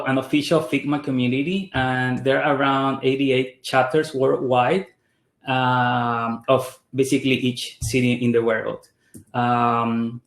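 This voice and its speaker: A young man from Ecuador hosting an online design meetup, chatty and friendly, with lots of fillers and restarts. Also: mid-range voice. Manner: friendly, many fillers and restarts, mixes in Spanish greetings. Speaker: meetup host. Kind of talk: casual online meetup introduction. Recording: roomy echo on a video call.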